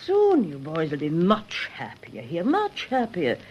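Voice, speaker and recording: Motherly immigrant shopkeeper, very lively intonation. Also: lowish voice, unhurried, highs cut off, a little hiss or hum. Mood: kindly, encouraging. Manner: coaxing, fond, encouraging. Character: motherly, kindly immigrant shopkeeper's wife, sympathetic. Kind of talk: radio drama acting.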